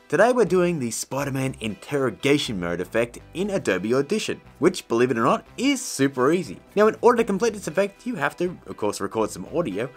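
A male tutorial host talks viewers through steps in a casual, slangy, joking style. His pitch swings widely as he goes, and faint music plays underneath. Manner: jokey, informal, slangy. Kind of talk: casual scripted software tutorial.

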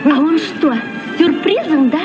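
A little schoolchild, excitable and greedy for treats. The childlike voice is very high and bounces around in pitch. It is a muffled old radio play recording. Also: female voice, measured pace, over music. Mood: excited. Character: small excitable schoolchild with a sweet tooth.

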